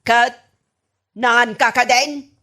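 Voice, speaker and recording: A woman's voice: fairly high pitch, steady tone, takes it slowly, bright, forceful tone. A woman acting a nagging, suspicious wife who scolds and questions in an Ilocano radio comedy drama, with an Ilocano accent. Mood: suspicious, exasperated. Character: nagging, suspicious wife of a forgetful old man.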